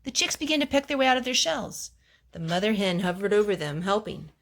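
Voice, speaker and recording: Woman reading a storybook, very animated pitch. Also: a little fast, noisy background. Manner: animated, with gentle asides to young listeners. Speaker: storyteller reading a children's book aloud. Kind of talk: expressive storybook read-aloud for children.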